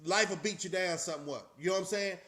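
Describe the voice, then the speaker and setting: A man's voice: sits high, fast talker, a crowd in the background. A man hosting a casual live-stream chat, talking loosely and rambling with frequent restarts. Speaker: channel host.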